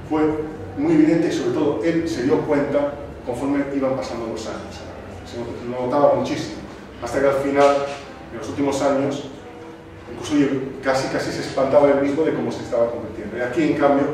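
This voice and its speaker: A man from Sardinia, presenting a film to a cinema club audience, thoughtful and full of pauses and restarts. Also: medium pitch. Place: cinema club screening room. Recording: echoey room, distant mic, noisy.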